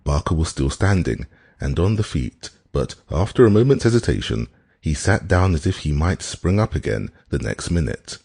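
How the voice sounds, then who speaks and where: A man's voice: rumbling low, very animated, normal speed, vocal fry, a little mellow, slightly rough, no room sound, studio quality. A man reading literary fiction as an audiobook narrator, in a scripted narration style.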